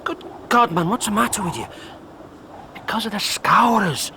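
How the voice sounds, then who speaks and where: Blunt small-town lodge brother, higher-pitched. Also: male voice, lively intonation, normal speed, noisy background. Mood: incredulous. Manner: folksy, plain-spoken. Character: blunt, wary lodge member in a rough mining town. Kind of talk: period radio drama acting.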